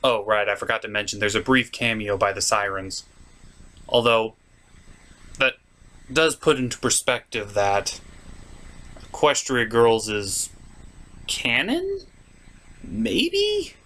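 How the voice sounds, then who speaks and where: A man's voice: lowish voice, big pitch swings, slow, long pauses, pushed and bright. A man hosting a comedic cartoon review, giving commentary in a sarcastic, exasperated manner.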